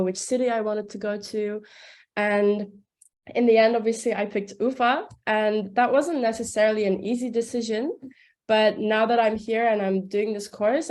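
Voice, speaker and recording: A woman's voice: medium pitch, a little mellow. A young woman from Turkey, a second-year student, talking casually and chattily about her studies, with many "like"s. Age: young adult. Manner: chatty, uses "like" a lot.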